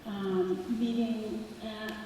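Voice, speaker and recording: A woman with a soft voice speaking up at a community meeting, announcing a neighborhood safety walking group. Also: medium pitch, fairly even intonation, very slow, slight background noise. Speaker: neighborhood safety walk organizer. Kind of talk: public remarks at a community meeting. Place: large meeting hall. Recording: echoey hall, far from the mic.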